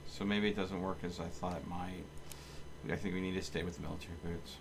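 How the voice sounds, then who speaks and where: A man with a fairly low voice streams a video game live. He thinks out loud in a casual way and chats to his viewers as he goes. There is clear noise in the recording behind him. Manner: thinks aloud, talking to viewers.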